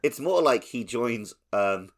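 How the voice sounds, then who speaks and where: A man's voice: medium pitch, expressive, slow pace. A man co-hosting a podcast, talking casually and conversationally about video games. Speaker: podcast co-host. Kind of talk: casual gaming podcast chat.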